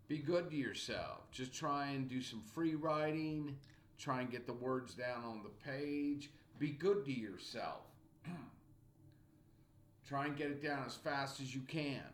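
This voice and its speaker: An older man in his sixties records a class lecture at a webcam in a small room at home. He teaches slowly and deliberately, repeating his points and clearing his throat between phrases. The room sounds echoey, with a faint hiss behind him. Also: mid-range voice. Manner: slow, repetitive, encouraging teacher talk with frequent throat clearing. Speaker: college writing instructor. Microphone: webcam at desk.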